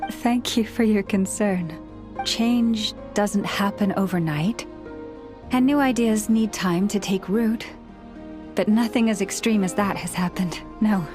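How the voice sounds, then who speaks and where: Game role: actress as tall, solemn priestess leader, mid-pitched female voice, slow measured formal delivery. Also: natural voice. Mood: earnest, calm. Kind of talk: video game voice acting, formal speech. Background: background music.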